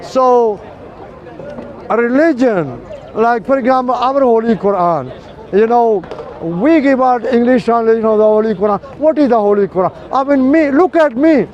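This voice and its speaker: A man's voice: very high voice, moderate pace, a little mellow, muffled recording, some chatter behind. Older man preaching earnestly on a street. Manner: earnest, explaining with many fillers. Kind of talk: street conversation. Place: busy city street outdoors.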